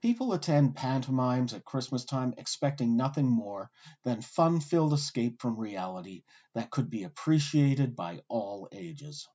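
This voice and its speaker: A man reading scripted narration with a theatrical, expressive storytelling delivery. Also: medium pitch, a little slow, lo-fi sound. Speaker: podcast narrator. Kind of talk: scripted narration about pantomime.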